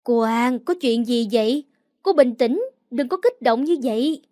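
A woman voices a calm older housekeeper from an audio story who talks someone down. The voice is high and soothing. The sound is close and dry. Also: a little slow, dry recording.

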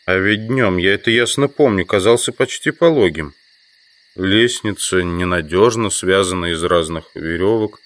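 An actor playing a weary, educated insect collector, thinking aloud in a halting inner monologue for an audio drama. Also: male voice, low voice, fairly bright tone. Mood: wary, observing.

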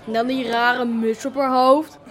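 A woman's voice: fairly high pitch, flat delivery, unhurried, close to an everyday voice. A woman playing an outspoken, disapproving youngster in a radio drama, blunt and opinionated. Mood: critical. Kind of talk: Dutch radio drama acting. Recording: outdoor scene, faint crowd behind.

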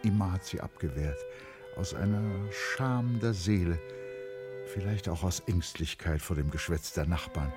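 Super deep male narrator, radio play, slow careful phrasing, strings in the background. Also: music in the background.

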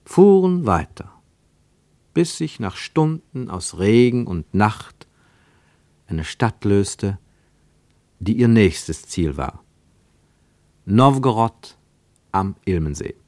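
A male narrator reads literary prose for a radio play. His lowish voice moves with lively intonation and dramatic, deliberate phrasing, recorded very dry in a studio. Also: no echo.

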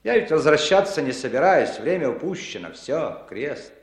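A man's voice: a little high, sing-song, normal speed. An actor playing a weary, fatalistic male defector, resigned yet urgent, in a 1960s radio play. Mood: resigned, warning. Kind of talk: 1960s radio drama acting. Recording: roomy, echoing studio sound.

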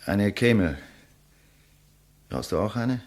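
Proud, theatrical writer with sweeping pitch. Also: male voice, expressive, slow pace, darkish tone. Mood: dry, sardonic. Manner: theatrical, eloquent, sardonic. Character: proud, defiant male writer facing his executioner. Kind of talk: 1950s German radio play acting.

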